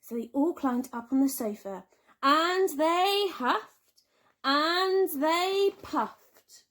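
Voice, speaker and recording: A woman teacher reading a children's storybook aloud with expressive, dramatic emphasis and drawn-out words. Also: high-pitched. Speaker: primary school teacher.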